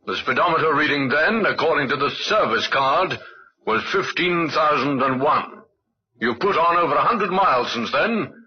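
An actor playing a calm, quietly suspicious police inspector in an old-time radio drama, formal and probing. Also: male voice, fairly high pitch, normal speed, slightly rough. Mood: measured, suspicious. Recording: muffled old radio recording.

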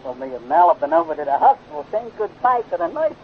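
A cocky space pal in an old radio serial, his high voice swooping through slangy, grumbling jokes. He is boastful and jokey, and the recording is dull with some hiss. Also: sing-song, quick pace. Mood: cheeky, boastful. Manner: folksy slangy banter, mock grumbling. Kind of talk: 1950s children's radio serial acting.

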